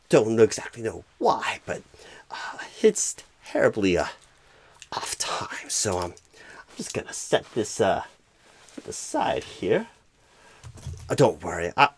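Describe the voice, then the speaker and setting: Man acting as clueless male office recruiter, husky, slow halting stammer, swooping pitch, absent-minded, comedy roleplay. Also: heavily acted. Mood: rambling, earnest. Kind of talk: ASMR comedy roleplay, celebrity-style impression.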